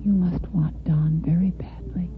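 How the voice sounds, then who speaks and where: A woman's voice: on the low side, fairly even intonation, measured pace, lightly acted. An American woman playing a reserved but tender-hearted single neighbor in an old-time radio soap opera. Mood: hushed. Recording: muffled vintage radio broadcast with music.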